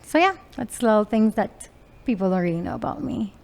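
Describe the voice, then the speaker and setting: A woman with a Trinidadian accent speaks as an interview guest, candid and expressive. Her answers come in open, personal bursts, with frequent laughter. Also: medium pitch, brisk, gravelly. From Trinidad and Venezuela. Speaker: entrepreneur guest.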